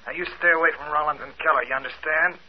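An actor playing a curt, threatening anonymous caller through a telephone filter in an old radio drama. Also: male voice, fairly high pitch, steady tone, fast, lo-fi sound, slight background noise. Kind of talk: 1940s radio acting.

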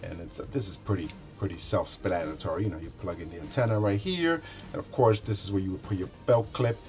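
A man in his forties giving a casual, unscripted product review in a conversational, halting way, often repeating words as he goes. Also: low-pitched, darkish tone, soft background music. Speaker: product reviewer, show host. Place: small indoor room with shelves.

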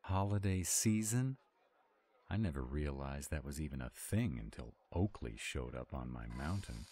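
An actor playing a grumpy, anxious male were-dragon in an audio roleplay, gruff and exasperated in his drawl. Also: rumbling low, lively intonation, normal speed, dark tone. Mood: sullen, baffled.

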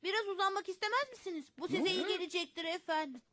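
A woman's voice: very high voice, quick pace, sharp tone. A woman in a cartoon dub voicing a small boy who is earnest, worried and polite, often pleading. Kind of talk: animated cartoon dub acting.

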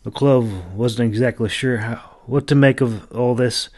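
A man's voice: medium pitch, unhurried, darkish tone, dry recording, clean studio sound. A man reading a fantasy audiobook as narrator, with dramatic storytelling delivery.

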